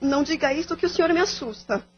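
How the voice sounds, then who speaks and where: A woman plays a young daughter in an audio drama, plaintive and dutiful. Her voice is high-pitched, and the recording is slightly dull. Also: fast, faint music behind, lightly acted. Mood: pained, plaintive.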